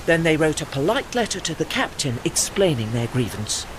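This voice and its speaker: An actor voicing an old, learned, wistful retired warship with a formal, articulate, British way of speaking in an audio play. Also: male voice, on the high side, sing-song, moderate pace, background noise. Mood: measured, recounting. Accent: British English. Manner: formal, articulate, pedantic, apologetic. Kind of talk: audio drama dialogue.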